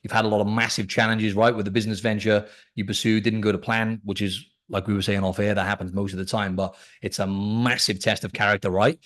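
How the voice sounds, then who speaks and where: A man's voice: fairly low pitch, flat delivery, quick pace, few pauses, fairly bright tone. A podcast host from Wales, a man with a Welsh accent that has picked up some Australian twang, chatting in an upbeat, chummy way and calling his guest bro and mate. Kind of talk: casual chat.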